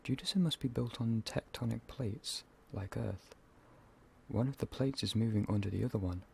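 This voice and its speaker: A man playing a calm young botanist explorer in an amateur audio drama. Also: mid-range voice, moderate pace, gravelly, slight background noise, natural voice. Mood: calm, explanatory. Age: young adult.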